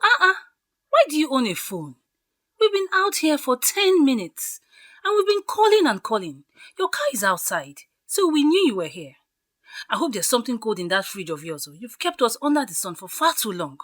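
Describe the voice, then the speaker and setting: A woman's voice: sits high, big pitch swings, moderate pace, a bit bright, full character voice. A woman playing a bossy, blunt friend in an audio drama, nagging emphatically with exasperation. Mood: exasperated, complaining. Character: Gladys, bossy, scolding, blunt female friend of the bride.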